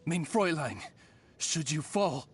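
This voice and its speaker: An actor voicing a dignified raven familiar in an anime game, formal and polite like a dryly deferential butler. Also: male voice, fairly high pitch, lively intonation, unhurried, breathy edge, full character voice. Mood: dutiful. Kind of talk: anime game character voice lines.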